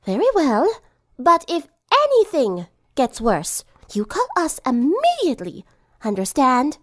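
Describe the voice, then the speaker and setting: Woman acting a proper, firm, high-voiced young lady. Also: huge pitch swings, unhurried, no room sound, studio quality, full character voice. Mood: firm concern. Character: refined, motherly, firm teenage girl giving orders.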